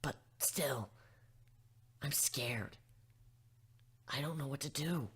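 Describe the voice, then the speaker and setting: A woman voicing an anxious, self-doubting young female griffin in a story reading, agitated and halting in her speech. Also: extremely low pitch, husky, pitched below the performer's own voice, heavily acted. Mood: scared, hesitant. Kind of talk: fan fiction dramatic reading.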